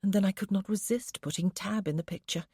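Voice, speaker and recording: Woman doing a gentle young wife, soft and breathy, earnest, a touch husky, dry close sound. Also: medium pitch, a little fast, dry recording. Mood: wistful.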